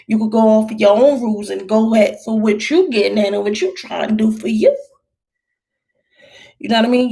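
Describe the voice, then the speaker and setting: A woman's voice: a little high, vocal fry, darkish tone. A thirty-year-old woman vlogger answering viewer questions in a loose, rambling, encouraging way, with slang. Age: 30s. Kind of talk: casual chat.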